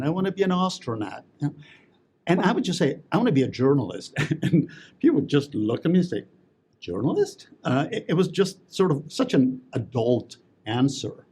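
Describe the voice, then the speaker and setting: A middle-aged man from Italy who grew up speaking Italian and English, telling stories as an interview guest with many fillers and laughs. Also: fairly high pitch. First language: bilingual Italian and English. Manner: expressive storyteller, lively, with frequent fillers and laughs. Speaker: interview guest, memoir writing teacher. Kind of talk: conversational interview storytelling. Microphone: local TV interview mic.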